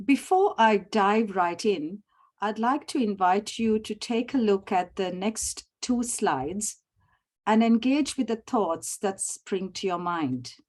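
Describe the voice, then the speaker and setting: A middle-aged woman from Sri Lanka, giving a formal prepared presentation over a video call. She is measured and often clears her throat. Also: medium pitch, animated, a little mellow. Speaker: summit presenter.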